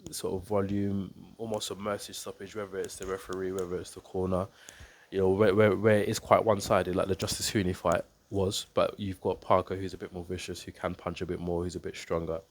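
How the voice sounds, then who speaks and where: A man with a very low voice and a stuffy, congested nose from a cold talks through a boxing fight to camera. His delivery is level and even, measured and unhurried, with frequent ums and repeated words as he thinks aloud. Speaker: boxing coach and YouTuber. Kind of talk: casual fight breakdown to camera.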